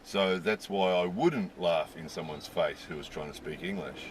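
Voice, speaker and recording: A man's voice: low-pitched, moderate pace. Chatty man outdoors, street noise. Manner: chatty, rambling, lots of "you know". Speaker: vlogger living in Japan. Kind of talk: casual talk to camera.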